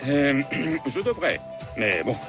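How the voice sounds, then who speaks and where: Flustered lawyer with swooping intonation. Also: male voice, mid-range voice, very animated, slow pace, music in the background. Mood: stern. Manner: formal, hesitant, protesting. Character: polite, flustered male lawyer, easily indignant. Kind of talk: French animated series dubbing.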